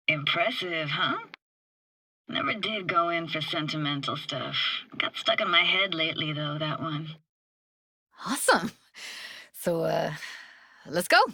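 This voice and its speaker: A woman's voice: low-pitched, bright, forceful tone, dry recording, exaggerated voice. A woman playing a blunt, guarded but tender young techie in a video game, speaking in casual, clipped slang with a natural delivery. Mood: easygoing, playful.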